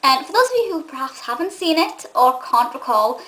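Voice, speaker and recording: A young woman from England chatting casually to camera, enthusiastic and rambling, with a slightly gruff voice. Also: sits high, sing-song, fairly quick, fairly bright tone. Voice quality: says she has a gruff voice. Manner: enthusiastic and nostalgic, rambling with many ums. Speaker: vlogger and film reviewer.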